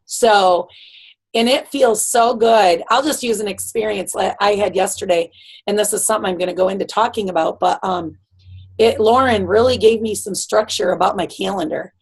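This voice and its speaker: A woman hosting a video call, encouraging and emphatic, her voice raspy. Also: medium pitch, normal speed, rough recording. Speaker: team leader hosting the call. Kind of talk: casual motivational chat. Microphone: computer mic on a video call.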